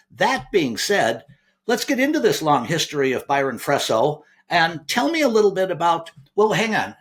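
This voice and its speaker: A man's voice: on the high side, a bit bright. A man hosting a podcast, introducing his guest and asking viewers to subscribe, eager and prone to restarting his sentences. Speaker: podcast host.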